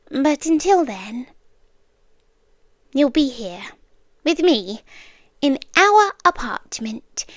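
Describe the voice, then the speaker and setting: A British woman playing an obsessive, gently menacing girlfriend in an intimate ASMR roleplay, speaking in a hushed, deliberate way that often slips into whispers, close to the microphone. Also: sits high, no room sound. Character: soft-spoken obsessive yandere girlfriend, gently menacing captor. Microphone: close microphone, dry.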